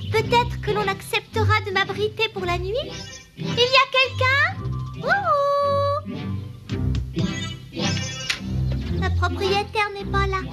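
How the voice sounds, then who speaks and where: An actress voicing a sweet, polite, innocent young kitten heroine in a cartoon dub. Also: female voice, very high-pitched. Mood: curious. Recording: music clearly behind the voice.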